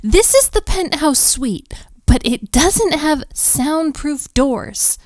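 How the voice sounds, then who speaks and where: Woman acting a slow-talking, flustered, defensive hotel maid. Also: high-pitched, very animated, no echo, full character voice. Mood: indignant, exasperated. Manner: exasperated, sighing, sarcastic, conversational. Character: flustered, defensive, overworked young hotel maid, sharp-tongued. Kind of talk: romantic roleplay audio drama.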